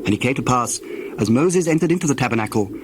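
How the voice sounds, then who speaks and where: A man narrating dramatized scripture in a solemn, measured storytelling manner. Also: mid-range voice, animated. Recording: noisy background ambience.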